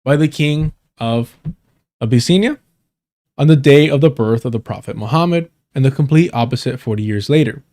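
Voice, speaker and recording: A man's voice: medium pitch, mellow. Man reading an article on stream. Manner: stumbling reader who corrects himself and apologizes. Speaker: livestream host. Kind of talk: reading an article aloud on a livestream.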